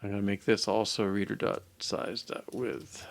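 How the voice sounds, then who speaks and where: Older man, low-pitched creaky voice, unhurried, hesitant think-aloud coding commentary, muttering. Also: flat delivery, slight background noise. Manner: hesitant, muttering to himself, musing. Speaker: programmer live-coding a mobile app. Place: small indoor room at a desk.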